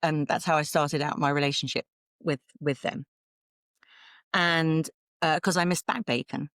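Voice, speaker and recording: A woman's voice: low voice, steady tone, measured pace, a bit bright, dry recording, studio quality. A woman with a southern English accent, talking casually and chattily as a podcast guest, with some self-deprecation. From south of England, London suburbs. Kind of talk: casual conversational interview.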